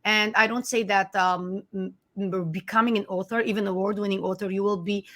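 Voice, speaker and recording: Bright, emphatic Armenian-speaking woman. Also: mid-range voice, fairly even intonation, a little fast. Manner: earnest, emphatic, many restarts. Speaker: author and life coach. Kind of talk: conversational interview.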